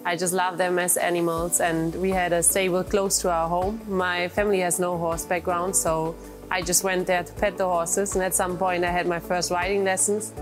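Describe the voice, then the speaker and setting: A young woman with a German accent and a slightly low voice. She is in her early twenties and speaks as a rider in a documentary interview, with music clearly behind her. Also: level tone, normal speed. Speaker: show jumping rider. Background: background music.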